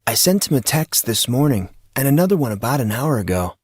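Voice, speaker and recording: A man's voice: medium pitch, sing-song, a little fast, slightly rough, no room sound, studio quality, pitched above the performer's own voice. A man voicing an earnest, wry teenage schoolboy with a casual, youthful way of talking in an audio drama. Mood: subdued.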